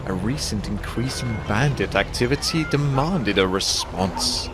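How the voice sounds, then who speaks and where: A man's voice: lowish voice, animated, unhurried. A man playing a smug, dismissive human magistrate in a fantasy game, pompous and condescending. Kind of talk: fantasy game cutscene acting. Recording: music under the voice.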